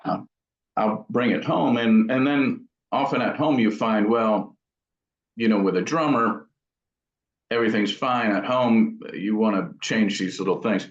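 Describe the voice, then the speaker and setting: Low-voiced man in a dull, echoey room. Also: a little slow. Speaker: guitar amp builder and guest. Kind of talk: casual explanatory interview talk. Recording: dull, roomy, echoing audio.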